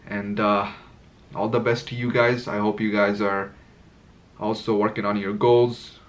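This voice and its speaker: A man's voice: on the low side, fairly bright tone. A man in his twenties talking unscripted to camera in a room at home, candid and a little rambling as he sorts his thoughts. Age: 20s. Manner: candid, rambling, searching for words. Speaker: vlogger. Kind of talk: unscripted reflective monologue to camera. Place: indoor room with curtains.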